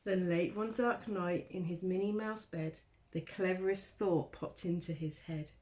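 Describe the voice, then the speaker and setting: Woman teacher reading a kids storybook, real slow, expressive, dramatic pauses. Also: lowish voice, slight background noise. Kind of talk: children's storybook narration, read aloud.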